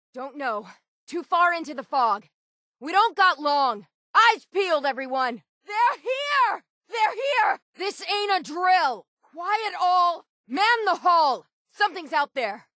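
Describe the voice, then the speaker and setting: An actress playing a weathered, stubborn female sailor in a video game, with a gruff, plainspoken old-salt way of talking. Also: high-pitched, very animated, takes it slowly, a bit bright, no echo, studio quality, exaggerated voice. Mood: urgent alarm. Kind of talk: video game character voice acting.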